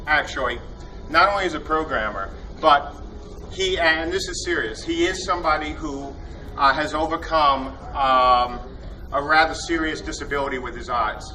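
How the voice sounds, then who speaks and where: A man hosting a convention panel, introducing his team in a jokey, enthusiastic, rambling way with lots of fillers. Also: fairly high pitch. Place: convention hall. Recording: distant mic with loud room noise.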